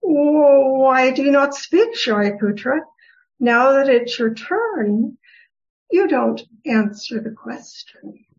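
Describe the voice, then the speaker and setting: A woman reading the part of a serene, wise goddess who gently teases, in a formal scripture reading with deliberate delivery. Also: sits high, lively intonation, heavily acted. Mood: gently teasing. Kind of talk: dramatic reading of Buddhist scripture. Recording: dull, lo-fi recording.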